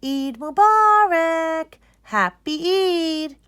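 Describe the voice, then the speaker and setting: An excited, curious young child in a storybook as acted by a woman reader. The pitch sits high and swings widely. The delivery is bubbly and eager, full of exclamation. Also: very slow, dry recording, studio quality, exaggerated voice. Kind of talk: children's picture book read-aloud.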